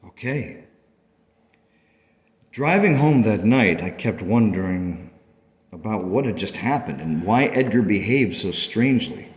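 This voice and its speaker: Guy playing a grieving dad telling his story in a radio drama, really low voice, halting n earnest, lots of reverb. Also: animated. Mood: uneasy, reflective. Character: grieving, devoted father recounting his daughter's illness. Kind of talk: first-person radio play narration. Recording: long reverb.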